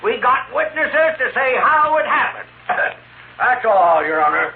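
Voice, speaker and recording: Very high-pitched frontier prosecutor. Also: male voice, sing-song, unhurried, creaky, full character voice. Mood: blustering. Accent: American Western. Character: plain-spoken, blustering male frontier prosecutor. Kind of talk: 1950s Western radio drama acting. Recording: tinny, echoey 1950s radio recording, hiss.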